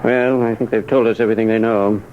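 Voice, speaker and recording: An actor playing a thoughtful police captain in a radio crime drama, matter-of-fact and authoritative. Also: male voice, lowish voice, fairly even intonation, fast, very noisy, lightly acted. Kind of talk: 1940s radio drama acting. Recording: dull old radio recording.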